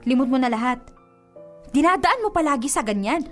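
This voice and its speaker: High-voiced, fed-up young girlfriend. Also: animated, a little fast. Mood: hurt, accusing. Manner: reproachful, emphatic. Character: hurt, fed-up young woman quarreling with her boyfriend. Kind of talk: radio drama acting in Tagalog. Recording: music behind the dialogue. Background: music.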